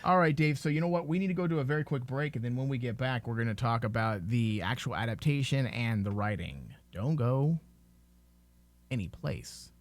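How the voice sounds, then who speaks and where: A man's voice: mid-range voice, moderate pace. A man hosting a casual fan podcast, chatting and addressing the listeners. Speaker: podcast host.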